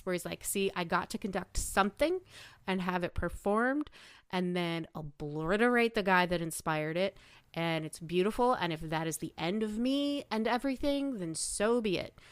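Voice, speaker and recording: A woman with a slightly low voice talks through her analysis as a podcast guest. The sound is dry, with no room around it. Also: clean tone, no room sound. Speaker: guest commentator.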